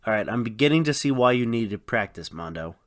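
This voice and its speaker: A man's voice: mid-range voice, expressive, fairly quick, dry recording, studio quality. A man co-hosting a radio show, delivering comic scripted banter.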